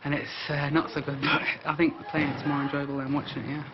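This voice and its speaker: A man's voice: fairly high pitch, level tone, quick pace, very noisy. A man born in Britain, a football fan, giving thoughtful interview answers with some hesitation, on dull 1980s documentary audio.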